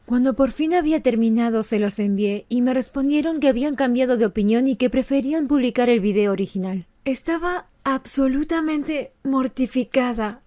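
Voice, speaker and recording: A woman's voice: fairly high pitch, dark tone, natural voice. A woman voicing a young female animator who earnestly narrates a painful personal confession for a fan dub.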